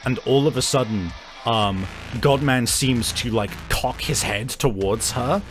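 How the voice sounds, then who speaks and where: Male game master, mid-pitched, narrating tabletop fantasy play in a halting improvised delivery, light music underneath.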